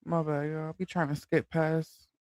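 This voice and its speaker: Casual male commentator, high-pitched, slight lisp, light stutter, dry mic. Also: flat delivery, moderate pace, a little mellow, clean tone, no room sound. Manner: stutters a little, loose and chatty. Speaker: commentary channel host.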